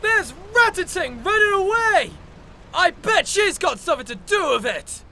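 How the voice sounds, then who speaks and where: Man acting a dramatic accusing friend, bright sharp voice, animated, noisy ambience, audio drama. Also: very high voice, moderate pace, exaggerated voice.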